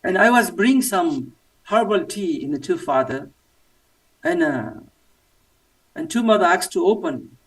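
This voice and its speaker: A man from Nepal with a Nepali accent, giving a personal testimony in halting English with many fillers. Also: high-pitched, lively intonation, far from the mic, rough recording. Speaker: church member giving testimony.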